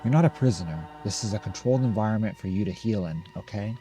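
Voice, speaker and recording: A man's voice: on the low side, quick pace, dark tone, soft background music, close to an everyday voice. A man voicing an anxious, controlling mother in a horror game, coaxing and insistent. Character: anxious, controlling mother fussing over her daughter.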